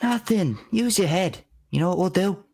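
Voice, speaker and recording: A man's voice: high-pitched, lively intonation, moderate pace, creaky, darkish tone, exaggerated voice. A man playing a bossy, street-smart boy in a vintage-style radio drama, brash and slangy. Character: bossy, scheming street-smart boy caught by his father.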